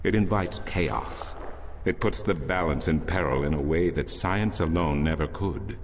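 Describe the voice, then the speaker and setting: An actor playing a solemn older priest in a fantasy game, telling ancient lore in a measured, formal, portentous way. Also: male voice, very deep voice, a little hiss or hum. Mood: solemn, knowing. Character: solemn older male temple priest, a storytelling loremaster. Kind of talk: grand scripted fantasy narration. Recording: music behind the voice.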